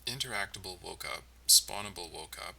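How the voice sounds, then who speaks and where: A man giving casual, halting commentary as he codes on a livestream, muttering to himself as he thinks aloud. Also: sits low, vocal fry, pushed and bright, slightly rough, distant mic. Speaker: game developer livestreaming. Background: typing and mouse clicking.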